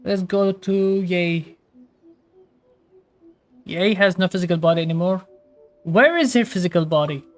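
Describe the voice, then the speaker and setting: A woman with a fairly low voice comments on her game playthrough. Her talk is casual and informal, like reactions tossed out while playing, and light game music runs behind her. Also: unhurried, pauses often. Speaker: gaming streamer.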